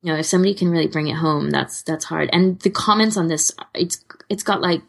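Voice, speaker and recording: A woman's voice: low voice, brisk, vocal fry. A woman co-hosting a podcast in casual conversation, rambling and often restarting her sentences. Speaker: podcast co-host.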